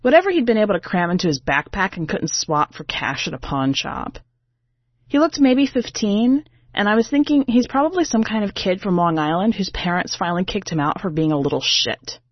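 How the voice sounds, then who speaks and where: A woman's voice: mid-range voice, expressive, a little fast, no echo, highs cut off, close to an everyday voice. A woman narrating a short story as a brash, defensive, sarcastic female singer, in a wry, exasperated, conversational way. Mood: sardonic, confiding.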